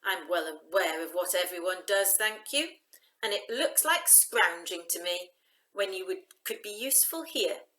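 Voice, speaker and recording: A woman's voice: fairly low pitch, animated, unhurried, bright, forceful tone, reverberant. A woman voicing a bossy, brusque old grandmother who runs an inn, in a storybook. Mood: scornful. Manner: barking, brusque. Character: gruff, bossy, loud old grandmother innkeeper.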